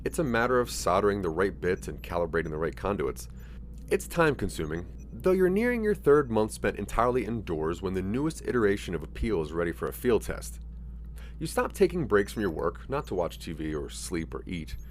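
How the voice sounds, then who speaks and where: A man's voice: low voice, big pitch swings, fairly quick, slight background noise. A man narrating a scripted interactive audio drama in the second person.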